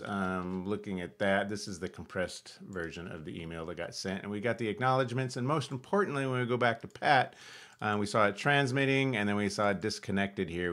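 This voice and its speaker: An American man in his fifties with a fairly low voice presents a hobby tech tutorial. He talks in an informal, enthusiastic way, commenting live as he walks viewers through each step on screen. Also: fairly bright tone. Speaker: amateur radio video host. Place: small indoor home radio room.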